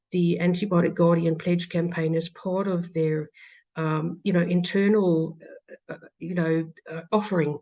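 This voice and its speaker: A woman's voice: fairly low pitch, darkish tone. South African woman with a dull lo-fi sound. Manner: hesitant, many fillers and restarts. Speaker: patient advocate speaker. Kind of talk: conference talk. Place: lecture hall.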